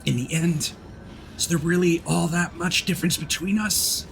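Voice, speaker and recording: A man's voice: on the high side, moderate pace, gravelly, faint music behind, voice pushed up, rough recording. A man voicing a bitter, self-righteous dying coward as he reads a letter from a video game in a theatrical, indignant manner. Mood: bitter indignation. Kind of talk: dramatic reading of game text.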